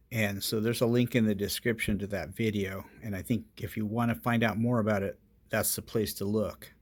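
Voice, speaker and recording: A man narrating a casual hands-on electronics demonstration as its host. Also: on the low side.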